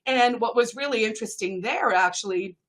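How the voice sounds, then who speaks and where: A middle-aged woman from South Africa, a native Afrikaans speaker with a South African accent, talking as an interview guest in a lively, animated way, laughing between points. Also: a little high, a little fast. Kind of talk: conversational interview storytelling.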